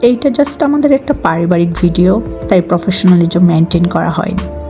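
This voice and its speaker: A woman's voice: fairly low pitch, animated, normal speed, music in the background. A woman with a Bangladeshi accent giving an informal voice-over for a travel vlog. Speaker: vlog narrator.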